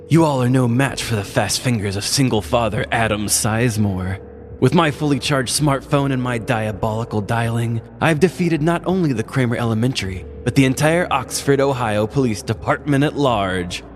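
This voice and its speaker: A man's voice: medium pitch, moderate pace, long reverb tail, soft background music, pitched above the performer's own voice, close to an everyday voice. A man playing a belligerent, self-important single father who rants in grandiose, profane style as a crank caller in a comedy story. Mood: grandiose triumph.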